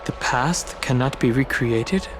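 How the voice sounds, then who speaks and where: Serious reserved young dude in a game, analytical, matter of fact, music in the background. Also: male voice, medium pitch, takes it slowly, fairly bright tone. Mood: skeptical, thoughtful. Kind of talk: video game voice acting.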